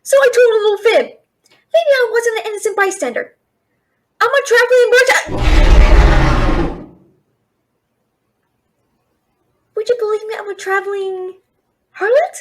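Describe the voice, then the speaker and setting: A woman's voice: very high voice, animated, a bit bright, slight background noise. A young woman playing a cheeky, frightened thief who stammers and bluffs in a fantasy audio roleplay. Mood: defensive, indignant. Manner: nervous, stammering, fast-talking bluffer. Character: cheeky, scared young female thief, talking her way out.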